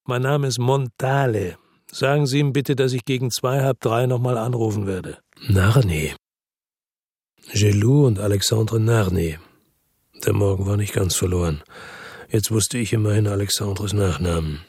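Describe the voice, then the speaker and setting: A man's voice: fairly low pitch, unhurried, darkish tone, breathy edge, no echo, studio quality. An actor playing a world-weary, tough detective, narrating his own story in a terse, matter-of-fact way for a radio drama. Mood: probing.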